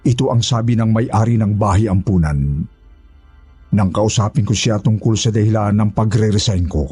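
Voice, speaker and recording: Man as humble houseboy storyteller, very husky, low dark voice, earnest, polite, horror narration, faint music behind. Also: moderate pace, no echo. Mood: recounting, earnest. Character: shaken former orphanage houseboy, humble male storyteller.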